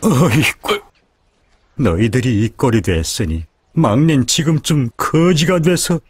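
An actor playing a worried elderly father in an animated folk tale, with a North Korean accent, sighing and exclaiming. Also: medium pitch, measured pace, husky, no room sound. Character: elderly worried father of three grown sons. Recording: music behind the voice.

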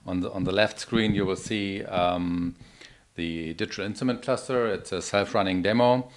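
A middle-aged man giving a live product demo in a halting, explanatory manner. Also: low-pitched. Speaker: product demonstrator.

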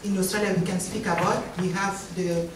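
A woman from Cameroon speaking on a panel in a lively, chatty way, with playful asides. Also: on the low side, fairly quick. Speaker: association co-founder on a panel. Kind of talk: panel talk. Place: large conference room. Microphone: handheld mic, heard from far. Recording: echoey room, distant, some noise.

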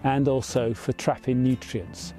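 A man in his fifties, a farmer, explaining his farm practices in a measured, plain way, outdoors in an open field. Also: mid-range voice, steady tone, mellow, faint music behind. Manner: measured, plain explanatory talk with small fillers.

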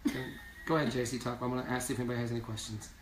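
A hoarse man in his thirties chatting casually on a live stream from a small room, rambling and reading viewer comments aloud. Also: medium pitch, flat delivery, very fast, a little hiss or hum. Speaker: singing duo member hosting a live stream. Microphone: phone camera at a distance. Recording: echoey room, from a distance.

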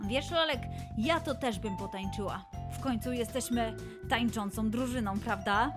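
Woman hosting a kids quiz, high pitched, encouraging, bit of music behind. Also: moderate pace. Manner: encouraging, clearly addressing young listeners. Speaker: host of children's quiz show. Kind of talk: playful scripted quiz presenting for children.

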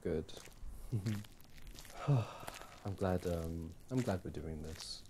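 A young man performing casual ASMR boyfriend roleplay as an easygoing, affectionate partner, talking in a hesitant, halting way. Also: sits low. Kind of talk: ASMR boyfriend audio roleplay, casual one-sided chat. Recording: clearly audible background noise.

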